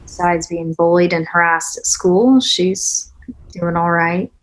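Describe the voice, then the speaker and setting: Woman playing a blunt, low-voiced, weary tough mother. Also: slow, low-quality recording. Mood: weary, worried. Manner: blunt, sardonic, plain-spoken. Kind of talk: naturalistic screenplay table read.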